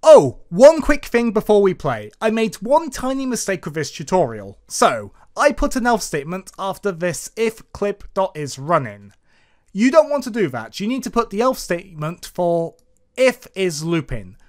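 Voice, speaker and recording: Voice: mid-range voice, sing-song, moderate pace, darkish tone. A tutorial presenter narrating a coding screencast in a casual, explanatory manner. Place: voice-over for a screen recording.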